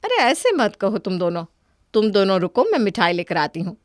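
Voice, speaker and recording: A woman's voice: mid-range voice, sing-song, a little fast, no echo, studio quality, natural voice. A woman voicing a hospitable middle-aged mother-in-law in a cartoon story, coaxing and placating. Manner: coaxing, apologetic. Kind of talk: Hindi cartoon story dialogue.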